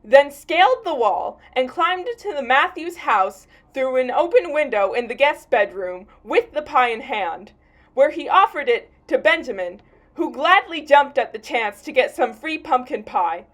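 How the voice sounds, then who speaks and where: A woman's voice: high voice, very animated, unhurried. A woman reading scripted true crime narration in a matter-of-fact storytelling way. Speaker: narrator and host of a mock true crime series. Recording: music behind the voice. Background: background music.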